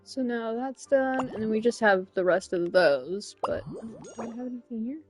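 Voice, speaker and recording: A woman's voice: a little high, moderate pace, darkish tone, faint music behind. A woman streaming a game, giving casual, blunt commentary as if muttering to herself. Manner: offhand, blunt, muttering to self. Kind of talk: casual live gameplay commentary.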